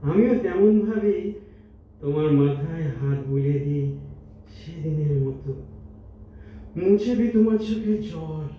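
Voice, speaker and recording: A man's voice: a little high, measured pace, pauses often, lightly acted. A man playing a tender, reflective old retired teacher in an audio drama, speaking poetically and wistfully. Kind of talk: Bengali stage audio drama. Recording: muffled, echoey hall from far off, noisy.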